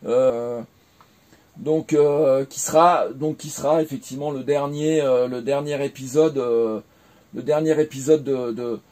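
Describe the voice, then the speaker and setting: A man's voice: medium pitch, steady tone, a little slow, dark tone. A French man in his forties, recounting his career in a casual interview, hesitant with many fillers and restarts. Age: 40s. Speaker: interviewee, former game developer.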